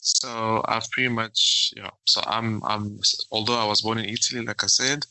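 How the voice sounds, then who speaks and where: A man's voice: on the low side, little pitch movement, normal speed, bright, forceful tone, no room sound, rough recording. A man in his thirties from South Africa, answering interview questions hesitantly with restarts and searching for words. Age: 30s. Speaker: guest, aeronautical engineer.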